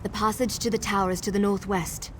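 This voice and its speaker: A woman's voice: medium pitch, flat delivery, fairly quick, a little hiss or hum, lightly acted. A woman playing an earnest, hopeful young elf in a video game, speaking eagerly and expressively. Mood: resolute.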